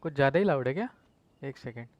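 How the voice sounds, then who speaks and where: A man's voice: mid-range voice, animated, very fast, highs cut off. A man with an Indian accent, chatting casually with viewers as he livestreams a game. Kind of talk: casual chat with stream viewers.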